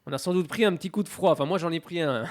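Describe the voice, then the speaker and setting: A man with a stuffy head cold hosts a radio sports show from a studio, his voice pitched fairly high for a man. He talks in a loose, hesitant way, full of filler sounds and light jokes. The studio sound is close and dry. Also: quick pace, darkish tone, no echo. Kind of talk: casual radio sports talk.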